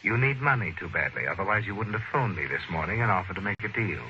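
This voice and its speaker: A cold, calculating crime boss from an old radio thriller. His deep voice is sharp and forceful, with precise, suave menace. The recording is dull, with faint noise. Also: animated, quick pace. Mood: smoothly calculating. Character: cold, calculating male diamond-smuggling murderer offering a bribe. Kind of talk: 1940s radio drama acting.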